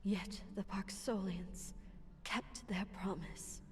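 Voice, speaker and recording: A woman's voice: medium pitch, fairly even intonation, darkish tone, close to an everyday voice. Solemn woman playing a mystical guide in long reverb. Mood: solemn, mournful. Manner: solemn, measured storytelling. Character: solemn mystical female guide telling ancient lore. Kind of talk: dramatic fantasy game narration. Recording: long reverb, game music behind.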